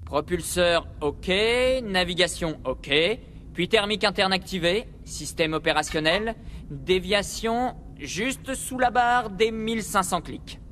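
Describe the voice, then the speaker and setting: A man's voice: high-pitched, unhurried, fairly bright tone, long reverb tail, a little hiss or hum. A man voicing a cocky, paranoid, grumbling military spaceship pilot in a video game. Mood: focused, businesslike.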